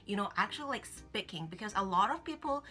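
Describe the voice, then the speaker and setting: Bright chatty woman, English. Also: mid-range voice, lively intonation, fast talker. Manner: chatty, many fillers like "like" and "you know". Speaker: vlogger. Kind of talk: casual advice vlog. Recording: faint music behind.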